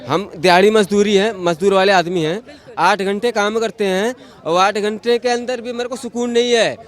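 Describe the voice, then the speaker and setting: A man's voice: high-pitched, steady tone, normal speed. A man in a casual street interview, plain-spoken and insistent, often repeating himself to press his point.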